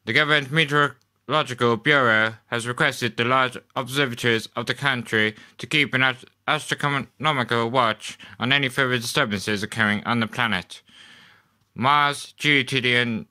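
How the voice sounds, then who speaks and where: Man as forceful old-time radio announcer. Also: medium pitch, moderate pace, pitched above the performer's own voice. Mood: urgent, formal. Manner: loud, emphatic, projected, formal. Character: urgent, formal male radio announcer of old broadcasts.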